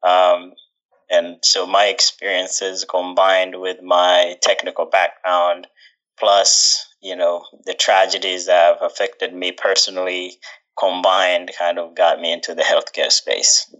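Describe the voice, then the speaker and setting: A young man from Uganda, a guest in an interview, answering thoughtfully with many pauses and fillers. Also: low-pitched, level tone, bright, forceful tone, muffled recording. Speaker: guest, social entrepreneur.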